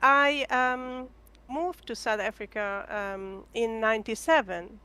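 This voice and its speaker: A woman's voice: a little high, fairly even intonation, unhurried, pushed and bright, smooth. A woman from Hungary talking as a guest in a casual chat, hesitant, with many ums and restarts. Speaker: guest artist.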